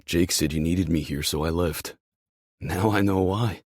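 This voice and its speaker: A man playing a protective, stubborn young athlete in an audiobook, earnest and emphatic with a husky voice. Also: sits low, unhurried, no room sound, clean studio sound. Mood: firm. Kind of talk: audiobook character dialogue.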